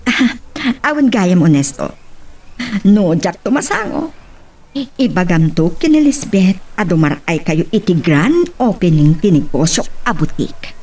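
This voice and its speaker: A woman's voice: lowish voice, sing-song, a little slow, darkish tone. A woman playing a scheming, calculating businesswoman in an Ilocano radio drama. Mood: smooth, coaxing.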